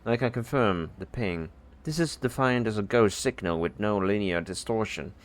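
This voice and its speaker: A man's voice: lowish voice, normal speed, natural voice. A man voicing a logical alien science officer in an audio drama, formal, precise and unemotional. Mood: detached.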